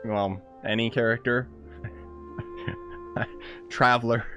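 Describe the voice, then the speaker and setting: A man's voice: medium pitch, little pitch movement, takes it slowly, sharp tone, soft background music. Laid-back young gamer guy. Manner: laid-back, jokey, slangy. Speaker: gaming streamer. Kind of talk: casual let's-play commentary.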